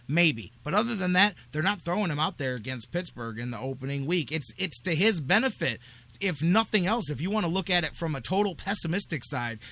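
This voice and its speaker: A man's voice: a little high, fairly bright tone. A man hosting sports talk radio, arguing his points emphatically and repeating phrases for stress.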